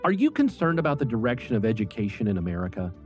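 A man reading an advertisement as a brisk, upbeat sales pitch. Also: very animated, darkish tone, faint music behind. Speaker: advertisement announcer. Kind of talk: ad read.

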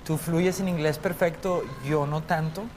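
A man's voice: a little high, steady tone, unhurried, a little mellow, faint music behind. A man hosting a TV interview, joking and welcoming his guest, with a Mexican Spanish accent. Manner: playful, joking. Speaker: host. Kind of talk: casual interview chat.

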